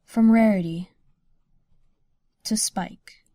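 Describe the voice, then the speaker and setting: A woman's voice: mid-range voice, fairly even intonation, extremely slow, mellow, no room sound, clean studio sound. A woman giving scripted narration as the announcer who introduces each letter.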